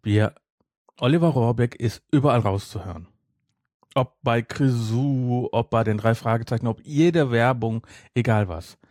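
Man, medium pitch, soft dark tone, emphatic, casual podcast talk. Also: unhurried, dry recording, studio quality. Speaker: podcast host. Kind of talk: casual chat.

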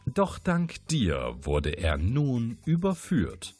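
A man's voice: mid-range voice, huge pitch swings, very slow, over music. A man giving a scripted narration of the case solution.

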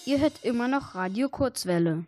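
A woman's voice: fairly high pitch, lively intonation, moderate pace, over music. A woman making a scripted announcement as a radio presenter.

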